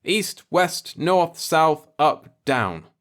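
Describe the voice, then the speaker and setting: An earnest human space traveler, played by a male narrator, talks in a raised, lively pitch. He explains and persuades with insistence and some impatience, in science fiction storybook fashion. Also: drawn-out words, full character voice. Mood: exasperated.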